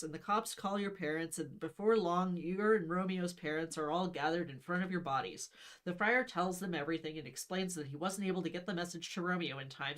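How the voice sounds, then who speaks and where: A woman's voice: fairly low pitch, a bit bright. A woman reading a story aloud on a livestream in a wry, conversational way, mixed with casual chat.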